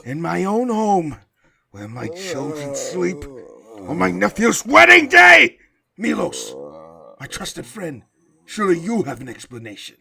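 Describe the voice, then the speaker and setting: A man playing an imperious, aggrieved crime boss in an audio drama, theatrical and commanding, swinging from hushed to loud. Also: high-pitched, wildly swooping pitch, a little slow, vocal fry, breathy edge, heavily acted. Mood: outraged, then coldly suspicious.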